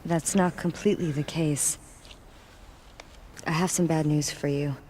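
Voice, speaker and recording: A woman's voice: low-pitched, fairly even intonation, a little slow, warm tone, a little hiss or hum. An actress playing a cool, serious young woman investigator in a video game cutscene, with music behind her. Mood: grave.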